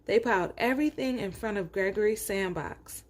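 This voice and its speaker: A woman narrates a children's storybook at a medium pitch. She reads aloud, then drops into conversational asides addressed directly to her young listeners. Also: moderate pace.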